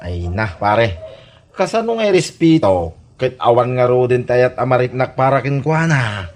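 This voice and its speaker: An actor with an Ilocano Filipino accent playing an evasive, irritable, smooth-talking young man in a comedy radio drama. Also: mid-range voice, very animated, moderate pace, lightly acted. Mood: casual, fed up.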